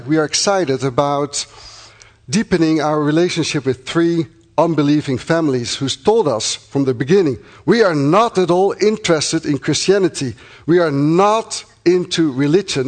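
A middle-aged man from the Netherlands with a Dutch accent, a missionary speaking earnestly and thankfully to supporters, pausing often with fillers. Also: a little high. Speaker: missionary church planter. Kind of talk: address to supporting congregation.

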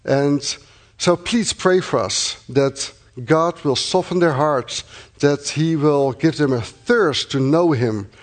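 Middle-aged man, Dutch accent, missionary addressing supporters, earnest and thankful, slightly raised pitch. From Netherlands. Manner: earnest and thankful, with pauses and fillers. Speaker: missionary church planter.

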